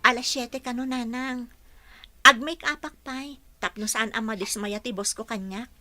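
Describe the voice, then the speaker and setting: A woman's voice: fairly high pitch, fairly even intonation, moderate pace, bright, forceful tone, no echo. A woman playing a plucky young housekeeper and dutiful daughter in an Ilocano radio drama, earnest, respectful but pert. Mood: earnest, affectionate.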